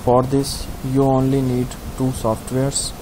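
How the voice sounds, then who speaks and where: A man giving a step-by-step software tutorial in simple, halting instructions. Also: medium pitch, monotone, rough recording. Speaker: tutorial host. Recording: clear background hiss.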